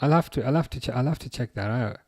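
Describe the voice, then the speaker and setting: A man hosting a live watch-along stream, chatting casually with viewers in a relaxed, hesitant way with plenty of fillers. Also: mid-range voice, quick pace, husky, no echo, clean studio sound. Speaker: livestream host reading chat.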